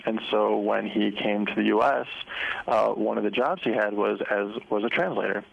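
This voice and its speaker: A middle-aged man with a low, gravelly voice talks as a guest on a radio show. His first language was Spanish, and he halts often with fillers and restarts. The broadcast sound is muffled and lo-fi. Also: normal speed. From United States. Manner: hesitant, many fillers and restarts. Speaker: business owner guest. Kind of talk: casual radio interview.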